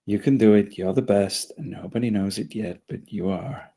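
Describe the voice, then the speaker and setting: A man playing an anxious, hapless spaceman with a husky voice and nervous manner in a comedy table read. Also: fairly low pitch, normal speed, warm tone. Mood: nervous pep talk. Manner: nervous, self-coaxing.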